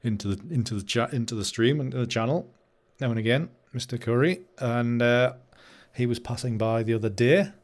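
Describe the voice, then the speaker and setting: A man's voice: medium pitch, brisk. A man hosting a live stream, chatting casually and rambling while he edits photos, often laughing and answering comments from chat. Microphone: new studio microphone.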